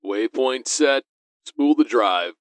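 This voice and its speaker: A man playing a wary, brisk cargo-ship crewman in an audio drama, speaking in clipped cockpit talk. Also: mid-range voice, lively intonation, no room sound. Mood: brisk, businesslike.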